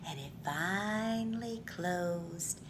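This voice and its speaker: A woman reading a picture book aloud for children in an expressive, theatrical manner with drawn-out phrasing. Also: on the low side, background noise.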